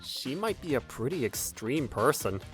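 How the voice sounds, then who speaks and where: A woman's voice: rumbling low, sing-song, normal speed, rough recording. A woman voicing a level-headed, sensible husband in a manga dub, conversational and reasoning, with background music. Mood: calm, reasoning. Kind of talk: manga dub character acting.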